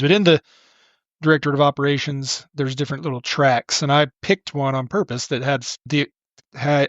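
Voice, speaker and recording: A middle-aged man, a podcast guest, talking casually in a relaxed, rambling way with many restarts. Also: mid-range voice, no echo, lo-fi sound. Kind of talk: casual interview talk.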